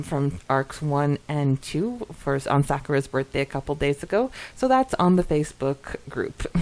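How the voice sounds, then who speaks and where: A woman speaks in a slightly low register, relaxed in wording and giggly in manner. She sounds like a podcast co-host, moving between casual chat and reading listener comments aloud. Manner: giggly, informal.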